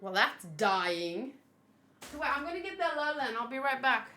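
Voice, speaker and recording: Casting: female livestream host, high voice, casual and exclamatory, chats off the cuff with viewers. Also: moderate pace, soft background music. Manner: animated, exclamatory, thinking aloud. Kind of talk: casual livestream chat, reading and answering viewers.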